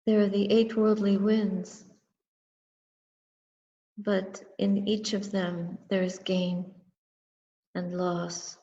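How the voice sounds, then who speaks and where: Very slow, mellow woman guiding meditation. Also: mid-range voice, flat delivery. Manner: contemplative, measured, short phrases with long pauses. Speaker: Buddhist nun and meditation teacher. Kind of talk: guided meditation and dharma talk. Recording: muffled recording with long reverberant echo.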